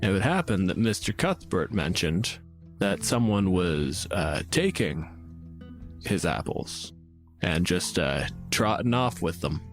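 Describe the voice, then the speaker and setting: A man's voice: low-pitched, sing-song, slow, soft background music, pitched below the performer's own voice, exaggerated voice. A man playing a courteous, officious town guardsman in a tabletop game, hedging as he speaks in an official manner. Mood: hedging suspicion.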